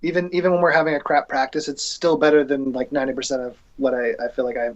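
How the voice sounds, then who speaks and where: A man who plays in a band, chatting casually and haltingly, often repeating words. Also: mid-range voice, little pitch movement, highs cut off. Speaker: band musician. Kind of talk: casual chat.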